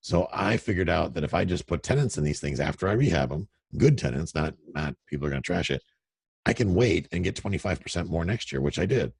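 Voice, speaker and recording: A middle-aged man with a very deep, gravelly radio voice, telling candid, self-deprecating stories. His tone is husky, and the sound is close and dry with no room around it. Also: animated, brisk, no echo. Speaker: real estate investor guest. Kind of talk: casual interview over a call. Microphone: remote call audio.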